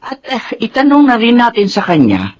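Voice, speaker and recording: A woman's voice: a little high, normal speed, highs cut off, slight background noise. An actress playing a chatty, sympathetic elderly grandmother in a Filipino radio drama, with a Filipino accent and a fussing manner. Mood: concerned, chatty. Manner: animated, fussing.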